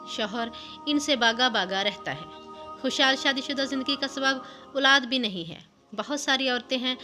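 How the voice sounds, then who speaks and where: Bright, high-voiced woman narrating a story. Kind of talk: scripted moral story narration. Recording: music under the voice. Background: background music.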